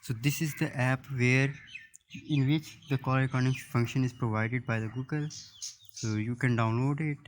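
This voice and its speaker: A man presenting an unscripted phone app tutorial in halting, piecemeal phrases. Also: medium pitch, fairly even intonation, slight background noise. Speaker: YouTube tech presenter.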